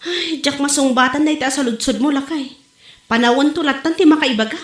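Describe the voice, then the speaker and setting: A woman's voice: sits high, fairly quick, lightly acted. A woman with an Ilocano Filipino accent acting an eager, chatty village wife in an amateur comedy audio drama. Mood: patient. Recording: roomy, echoing amateur podcast recording.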